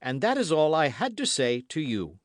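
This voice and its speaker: A man's voice: a little high, huge pitch swings, moderate pace, no room sound, studio quality, voice pushed up. A man voicing a stern, cold-hearted king in an audiobook, speaking in a raised, demanding, imperious way. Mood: pressing. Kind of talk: audiobook character reading.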